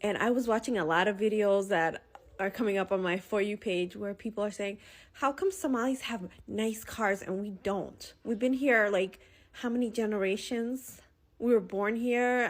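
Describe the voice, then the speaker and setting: A woman's voice: medium pitch, moderate pace. A woman giving a casual, opinionated social media monologue, conversational and emphatic, repeating her points.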